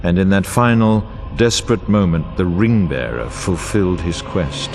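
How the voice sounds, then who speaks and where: An actor playing a solemn, grave war chronicler, narrating a fantasy game in a grandiose, formal and portentous way. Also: male voice, sits low, lively intonation, normal speed. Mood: solemn, reverent. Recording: orchestral music bed under voice. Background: music.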